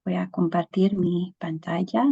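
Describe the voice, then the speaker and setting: A woman presents at a measured, unhurried pace, choosing her words carefully as a non-native speaker. Her voice sits a little low, and the video call audio comes through dull and lo-fi. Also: level tone, gravelly, mellow, breathy edge. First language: English. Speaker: guest speaker, scientist. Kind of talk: webinar presentation.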